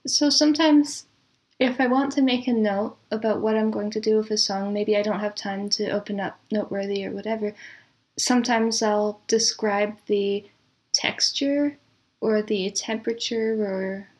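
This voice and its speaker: Woman with an even, measured delivery. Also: medium pitch. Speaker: interview guest, composer and audio drama producer. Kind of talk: conversational interview answers.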